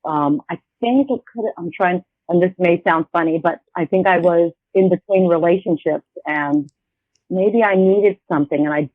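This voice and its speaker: A woman's voice: on the low side, normal speed, dry recording. A woman in her fifties, a guest in a casual interview, talking in a rambling, hesitant way with many restarts over a tinny phone-like line. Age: 50s.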